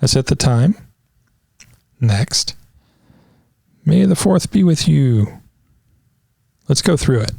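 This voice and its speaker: Wry man reviewing gear, gravelly voice. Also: on the high side, animated, slow pace, long pauses, darkish tone, dry recording. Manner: wry, sarcastic asides. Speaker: product reviewer. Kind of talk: casual product walkthrough with dry jokes.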